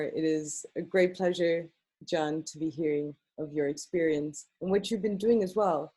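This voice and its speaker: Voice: mid-range voice, mellow, breathy edge. A host formally introducing a guest speaker. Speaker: roundtable host.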